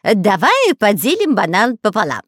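A woman voicing a whiny, boastful, excitable male bandit spy in a children's storybook. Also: mid-range voice, moderate pace, no room sound, studio quality, voice pushed up. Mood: indignant. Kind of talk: children's storybook character acting.

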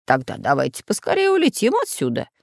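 Woman playing a fussy, low-voiced professor. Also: huge pitch swings, a little fast, vocal fry, no echo, clean studio sound, exaggerated voice. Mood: anxious. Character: learned male geography professor, fussy, cautious. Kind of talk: children's storybook character acting.

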